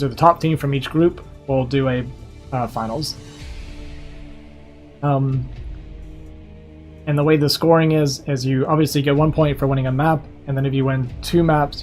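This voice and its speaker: Hoarse young man chatting on stream. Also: medium pitch. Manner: rambling, filler-heavy, upbeat. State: voice worn out. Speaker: streamer and caster. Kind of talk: casual livestream chat.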